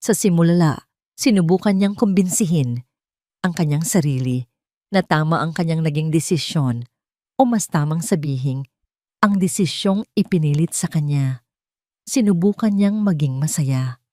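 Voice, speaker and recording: Female drama storyteller, lower register, very dry close sound. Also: animated, normal speed, long pauses, mellow, no room sound. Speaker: audio story narrator. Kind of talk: dramatic scripted story narration.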